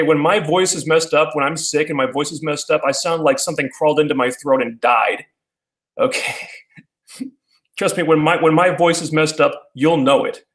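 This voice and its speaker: A man, chatting casually with his viewers in a halting way, full of fillers and restarts. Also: medium pitch, roomy sound. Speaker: YouTuber answering viewer questions. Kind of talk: casual rambling chat.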